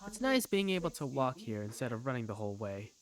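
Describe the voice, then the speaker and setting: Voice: mid-range voice, sing-song, fast talker. A narrator reading scripted first-person story narration for a game fandub. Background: background music.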